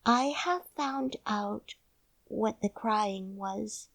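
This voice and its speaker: A woman's voice: medium pitch, extremely slow, vocal fry, no echo, pitched above the performer's own voice, full character voice. A woman voicing an earnest, outspoken young girl in a storybook reading.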